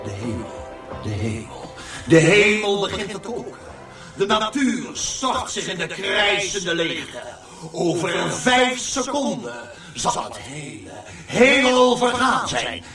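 A man's voice: sits high, big pitch swings, slow pace, full character voice. A man playing a bombastic, theatrical master of ceremonies, announcing grandly in a comic radio drama. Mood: grandiose. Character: bombastic, theatrical male show compere at doomsday. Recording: echoey, distant hall sound over music.

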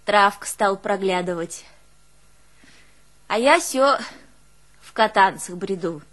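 A woman's voice: mid-range voice, takes it slowly. An actress playing an artless, emotional peasant woman with a northern Russian rural dialect, telling her life in a theatrical folk monologue. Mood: artless recollection. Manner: folksy, expressive, exclaiming and musing aloud. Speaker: stage actress in a one-woman play.

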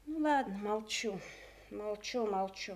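A woman's voice: mid-range voice, slow. A woman acting an anxious, affectionate mother who coaxes her family, in a Soviet radio play. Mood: anxious, coaxing.

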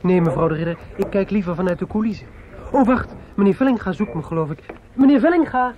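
An eager, anxious young theatre helper in a radio play, acted by a woman. The delivery is hesitant and attentive, in a medium-pitched voice on a dull recording with plain background noise. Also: lively intonation, fast, natural voice. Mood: eager, nervous. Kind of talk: radio drama acting.

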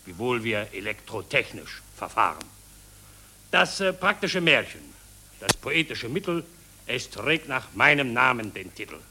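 Sharp, pompous mad inventor. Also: male voice, medium pitch, gravelly, slight background noise, exaggerated voice. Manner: pompous, declamatory, storytelling. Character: pompous Berlin engineer-inventor proudly selling deadly plague. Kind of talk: satirical radio drama monologue in verse.

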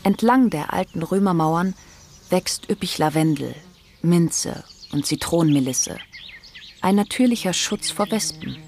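A woman's voice: lowish voice, lively intonation, measured pace, a little mellow, slight background noise. A woman playing the detached, observant narrator of a radio play, reading literary prose in a composed, precise way.

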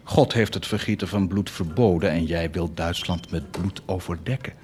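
A man's voice: low-pitched, normal speed, slight background noise, lightly acted. An actor playing a proud, fervent nobleman set on doing good, declaiming with theatrical intensity in a radio drama. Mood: defiant, scornful. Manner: declamatory, impassioned, swinging to hushed intensity.

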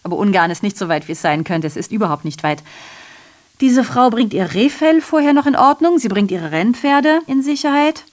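Actress as sardonic female messenger, high voice, ironic declamation, self-correcting, digressive, theatrical radio play monologue. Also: animated, brisk, close to an everyday voice. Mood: wry, detached. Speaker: radio drama performer.

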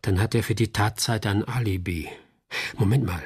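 An actor playing a wry, hard-boiled private detective in a radio play, blunt and sardonic. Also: male voice, lowish voice, moderate pace, a little mellow, breathy edge. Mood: blunt, probing.

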